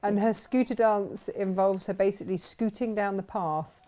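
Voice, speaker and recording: A woman's voice: mid-range voice, steady tone, normal speed, warm tone. A woman in easy, chatty conversation on a crafting show. She tells small stories with playful energy and laughs often between lines. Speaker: co-host. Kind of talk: casual chat on a crafting show.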